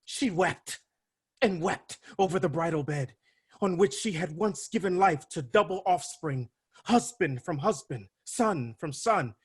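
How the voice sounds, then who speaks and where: Man as grim messenger, grave, theatrical tragedy reading, husky, fairly high voice, video call. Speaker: actor in a dramatic reading. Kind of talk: staged reading of a Greek tragedy.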